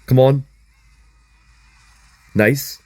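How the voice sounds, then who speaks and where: A young man with a hoarse voice giving chatty, excitable live commentary on a mobile game as he plays, talking to his viewers. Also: mid-range voice, extremely slow, dry recording. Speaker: gaming content creator.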